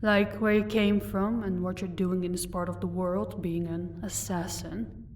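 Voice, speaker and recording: A woman's voice: lowish voice, little pitch movement, a little slow, a little mellow. Distant-miked mocking vampire lady, acted by a woman. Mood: cool, commanding. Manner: taunting, condescending, composed. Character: powerful female vampire, mocking, commanding, married lady of an estate. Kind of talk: audio roleplay acting addressed to the listener. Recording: distant microphone, faint background noise.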